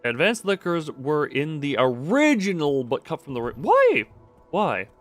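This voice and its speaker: A man's voice: a little high, wildly swooping pitch, unhurried, faint music behind. A man giving casual live video game commentary, thinking aloud in a rambling, self-interrupting way with playful, self-deprecating wordplay.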